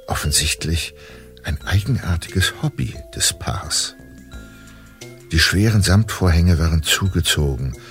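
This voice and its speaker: A man narrating a German audio drama as a composed, observant storyteller, with measured literary delivery. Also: fairly low pitch. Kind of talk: scripted narration.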